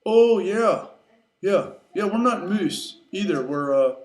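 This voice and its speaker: A man's voice: sits high, animated, slow pace, roomy sound, pitched above the performer's own voice. A man voicing dim, chatty moose brothers with a hesitant, folksy manner. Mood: nervous, placating.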